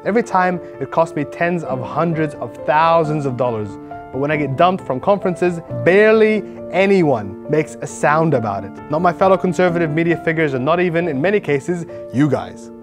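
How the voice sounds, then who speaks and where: A man's voice: fairly high pitch, expressive, normal speed, close to an everyday voice. A man playing an aggrieved, pompous internet provocateur in a mock dramatic reading of rants, over music. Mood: wounded indignation. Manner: melodramatic, pompous. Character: aggrieved, self-pitying male internet provocateur ranting at fans. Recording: music behind the voice.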